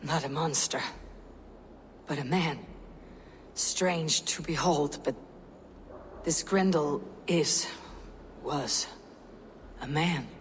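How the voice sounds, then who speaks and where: A woman's voice: deep voice, extremely slow, pauses often, slight background noise. A woman playing a stern, stoic female Viking warrior in a video game. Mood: subdued, somber. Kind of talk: dramatic video game acting.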